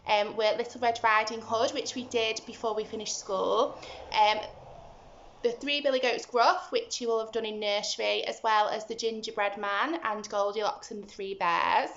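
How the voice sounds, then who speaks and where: A woman teacher talking simply and deliberately to young children as she explains and reads a storybook aloud. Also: fairly high pitch. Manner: simple, deliberate, instructive, animated when reading. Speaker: early-years school teacher. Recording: roomy, slightly muffled home recording.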